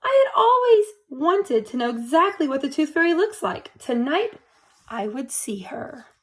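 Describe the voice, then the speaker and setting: A woman reading a picture book aloud to young children in an animated, expressive way, speaking to them directly. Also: sits high, a little slow.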